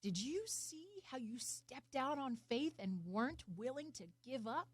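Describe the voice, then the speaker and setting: A woman as a slow-speaking, gentle wise old man. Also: high voice, sing-song, husky, no echo, voice pushed up, full character voice. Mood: humble, wise. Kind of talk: storybook character reading.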